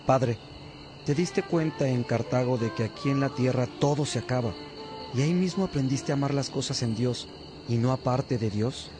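An actor playing an earnest, attentive disciple of a priest, speaking in a measured way in a religious radio drama. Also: male voice, mid-range voice, music in the background, close to an everyday voice.

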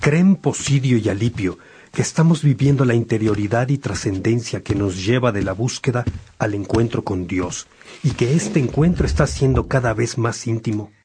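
A man playing an earnest, reflective bishop in a religious radio drama, speaking in a measured, pastoral way. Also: medium pitch, mellow, slight background noise, lightly acted. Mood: earnest, inquiring. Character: Saint Augustine, earnest reflective bishop among friends.

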